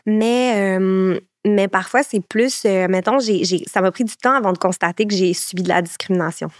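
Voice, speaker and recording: A woman in her thirties with a Québécois French accent, talking through her thoughts as a podcast guest. She pauses with fillers and restarts sentences as she searches for the words, close to the mic in a dry, dead room. Her pitch sits in an ordinary middle range. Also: no echo. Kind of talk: casual conversation.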